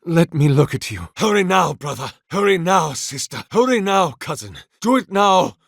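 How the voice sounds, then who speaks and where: A man's voice: fairly high pitch, sing-song, moderate pace, vocal fry, a bit bright, dry recording, studio quality, exaggerated voice. A man playing a devout, grandiose male oracle in a video game, speaking in a solemn, florid, sermonizing way as a zealous, mournful servant of a god. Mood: hopeful, urgent. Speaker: video game character voice actor. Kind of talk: theatrical scripted game dialogue.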